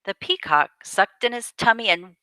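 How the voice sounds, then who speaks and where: A woman reading a picture book aloud to children as a storyteller, with deliberate, dramatic phrasing. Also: on the high side, expressive, sharp tone, dry recording.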